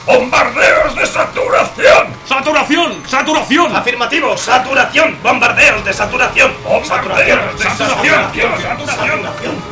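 A man's voice: very high-pitched, expressive, moderate pace, hardly any pauses, echoey room, over loud music. A man playing a fanatical four-star general in a radio drama, growling and barking out military orders. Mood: fanatical, belligerent. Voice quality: gravelly growl.

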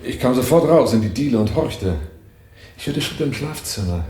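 An actor playing a defensive, shaken man under police questioning in a 1980s radio drama, halting and then stiffly precise. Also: on the low side, dark tone, natural voice. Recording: roomy sound with clear hiss.

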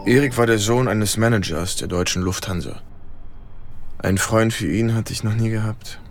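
Actor as subdued, low-voiced young soldier, confessing. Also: male voice, normal speed, lightly acted. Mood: sober, remembering. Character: troubled young German-American soldier confiding in his lover. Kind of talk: intimate radio drama dialogue.

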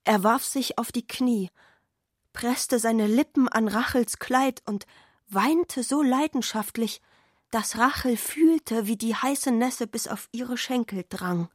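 A woman's voice: a little high, slow pace, breathy edge, dry recording, clean studio sound. A woman reads literary narration for a radio play. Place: dry radio studio.

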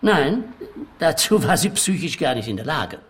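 An actor playing a defensive, debt-ridden beauty doctor in a comic detective radio play, emphatic and self-justifying. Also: male voice, a little high, very animated, normal speed. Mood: gossipy.

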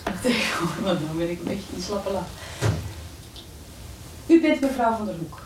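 A woman's voice: medium pitch, expressive, moderate pace. A woman actor chatting casually off-script during a recording, heard on a noisy, echoey, distant outtake. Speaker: radio drama actress. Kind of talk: casual off-script rehearsal chat.

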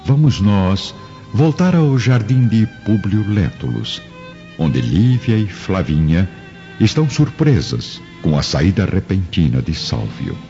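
Deep, animated Brazilian male narrator. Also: slow. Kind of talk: dramatic scripted radio drama narration. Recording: dull lo-fi with music behind.

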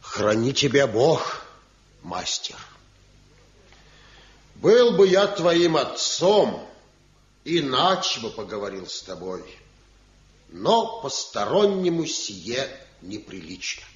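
A stern village craftsman moralizes slowly and reproachfully. His fairly low voice moves expressively in pitch. The old radio drama recording is muffled and echoey. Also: very animated. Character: stern, sanctimonious village master craftsman, disapproving visitor. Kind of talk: Soviet radio drama acting. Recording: dull, roomy archival radio recording.

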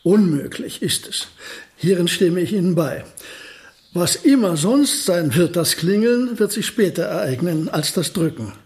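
An actor in a German radio play voicing an elderly genius physicist, courteous, modest and quietly stubborn, with a deliberate, precise, formal delivery. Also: male voice, high voice, heavily acted.

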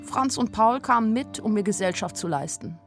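A woman's voice: mid-range voice, expressive, moderate pace, faint music behind, close to an everyday voice. An actress playing a young shopgirl in love, narrating a radio play in a plain, reflective, anxious and melancholy way. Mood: wry, reflective.

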